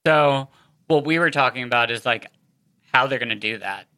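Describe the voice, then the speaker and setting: Female co-host, bright crisp voice, close dry sound, chatty and effusive fan-podcast delivery. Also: rumbling low, moderate pace, no room sound. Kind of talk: casual fan podcast chat.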